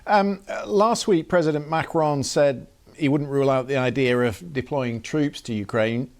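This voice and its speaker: Male broadcast interviewer: average pitch, probing, slightly halting delivery of questions. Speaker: news interviewer.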